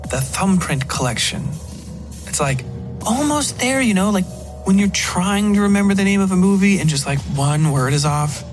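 A man voicing a bubbly, excitable female literary agent who rambles and gushes chattily, in an audiobook-style story. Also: high-pitched, normal speed, music in the background, pitched above the performer's own voice. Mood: playful, rambling.

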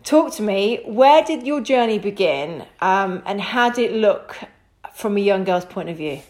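A woman's voice: medium pitch, animated, a little slow, darkish tone. Enthusiastic woman podcast host. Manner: enthusiastic, rambling questions. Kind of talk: casual interview.

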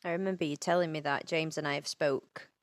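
A woman's voice: deep voice, steady tone, a little fast, no room sound. A woman co-hosting a podcast, speaking in a supportive, reflective way. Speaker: podcast co-host. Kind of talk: casual chat.

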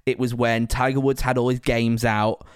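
A man's voice: medium pitch, flat delivery, moderate pace, clean tone. A man, interviewing in a casual, teasing way. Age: 20s. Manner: teasing, bantering. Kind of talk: casual chat.

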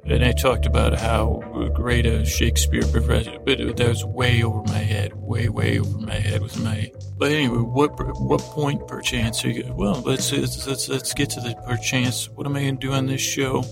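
A man hosting a sleep podcast, giving a soothing, meandering bedtime monologue in a halting way, trailing off and restarting as he digresses. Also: medium pitch, level tone, gravelly, over loud music. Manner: soothing, lulling.

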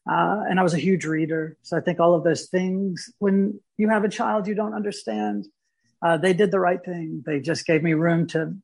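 A man from Mississippi, a guest artist talking thoughtfully in an interview, pausing and restarting as he searches for words. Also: sits high, creaky, darkish tone. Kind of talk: reflective interview talk.

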